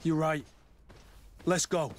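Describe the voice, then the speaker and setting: Game role: gruff, loyal captain, plain-spoken and stubborn, moderately high male voice. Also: fairly even intonation, slow pace, slight background noise. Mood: disbelieving, stubborn. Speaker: military captain. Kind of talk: video game dialogue acting.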